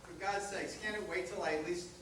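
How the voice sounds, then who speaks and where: A man's voice: high-pitched, fairly even intonation, fairly quick, natural voice. A man playing a dry, grumbling older husband in a community reader's theater comedy. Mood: weary, irritated. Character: grumbling, distracted older husband reading the paper. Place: reader's theater performance in echoey venue. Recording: very echoey, distant, noisy hall recording.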